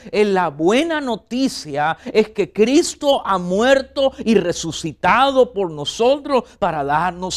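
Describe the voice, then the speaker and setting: A man from Rico, preaching a fervent sermon and speaking straight to his listeners. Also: high voice. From Puerto Rico. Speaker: pastor.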